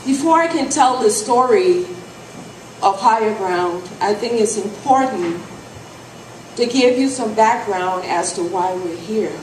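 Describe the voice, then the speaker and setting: A woman from Liberia giving a talk about her nonprofit at a live event, earnest and halting, with restarts and repeated phrases. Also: medium pitch, animated, noisy background. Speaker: nonprofit founder and presenter. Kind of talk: speech at a live presentation event. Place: event venue with an audience. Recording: echoey room, from far off.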